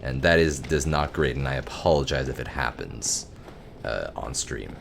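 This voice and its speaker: Very deep-voiced man, self-mocking streamer. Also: expressive, moderate pace, creaky, a bit bright, a little hiss or hum. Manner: self-deprecating, wry, muttering curses. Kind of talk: casual gameplay commentary. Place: home office. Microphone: close desk mic.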